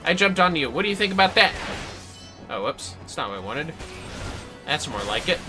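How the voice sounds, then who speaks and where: A man's voice: on the high side, big pitch swings, normal speed, over music. A man giving casual, playful, sweary commentary on a gaming livestream, prone to exclamations.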